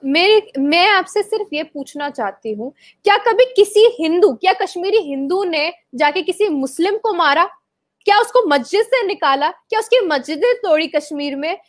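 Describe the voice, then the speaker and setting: A high-pitched woman from Kashmir argues her case with passion. She fires off rhetorical questions and stumbles through frequent hesitations as she pushes her points. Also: expressive, moderate pace. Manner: impassioned, argumentative, rhetorical questions with many hesitations. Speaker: interview guest. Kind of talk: heated debate answer.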